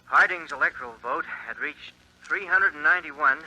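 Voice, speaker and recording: An earnest early radio announcer reading election returns in a formal, measured cadence. His voice is bright and cutting, pitched a little high. It comes through a dull, lo-fi 1940s radio recording. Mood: proclaiming.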